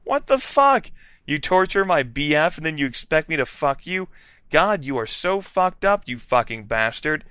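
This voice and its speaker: A man voicing a melodramatic teenage goth girl who whines in a shrill, mock-dramatic way. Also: moderate pace, hardly any pauses, pushed and bright, smooth, dry recording. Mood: outraged.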